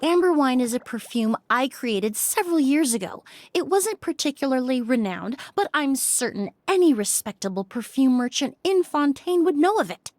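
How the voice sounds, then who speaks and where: A woman voicing a composed, shrewd young perfumer in a video game, speaking in a measured, formal, courteous manner. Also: sits high, sing-song, a little mellow, dry recording. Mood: probing, confident. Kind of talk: scripted video game dialogue.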